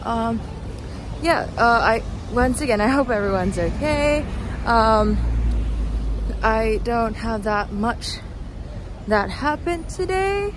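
A young woman chatting casually in a rambling, halting way, recording on a handheld phone as she walks outside. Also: on the high side, expressive. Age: young adult. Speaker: vlogger. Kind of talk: casual walking vlog chat. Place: outdoors walking on a city street at night. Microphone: handheld phone at arm's length. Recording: noisy city street with passing traffic. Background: traffic and street ambience.

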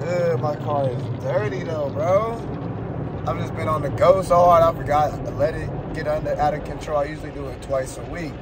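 A man in his twenties vlogs casually with a slightly high voice. He is slangy and sure of himself, talking himself up as he goes. The phone recording carries plenty of background noise. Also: fairly even intonation, moderate pace. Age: 20s. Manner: slangy, cocky, self-motivating. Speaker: vlogger, baseball player and coach. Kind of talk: casual hyped vlog chat. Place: inside a car and outdoors. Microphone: phone at arm's length. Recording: noisy car and outdoor phone recording.

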